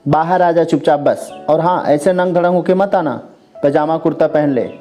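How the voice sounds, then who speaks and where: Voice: medium pitch, moderate pace, dark tone, faint music behind, close to an everyday voice. A storyteller voicing a scolding, exasperated middle-aged mother with a nagging, bossy manner.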